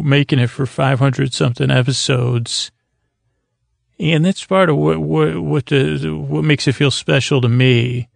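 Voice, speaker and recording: A man with a creaky voice hosting a bedtime podcast, talking in a soothing, meandering way with halting, repeated phrases that trail off. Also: a little high, no echo, clean studio sound. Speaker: podcast host.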